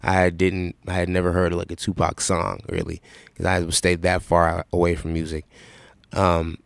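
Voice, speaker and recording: A young man from Seattle with a raspy voice, answering interview questions in a relaxed, rambling way with lots of fillers. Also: low-pitched, moderate pace, dry recording. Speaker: rapper guest.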